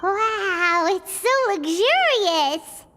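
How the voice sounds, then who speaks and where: A woman voicing a small, excitable, childlike fairy companion in a video game, squeaky and talking about herself by name. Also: extremely slow, long reverb tail, faint music behind, exaggerated voice. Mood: excited. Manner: exclaims, refers to herself by name. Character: small childlike fairy companion, excitable, food-loving, third-person talker.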